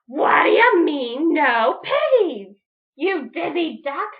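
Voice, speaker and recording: A woman voicing a greedy, bossy female witch who shrieks and shouts her demands, heard on a dull lo-fi recording. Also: very high voice, sing-song, takes it slowly, voice pushed up, exaggerated voice. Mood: angry.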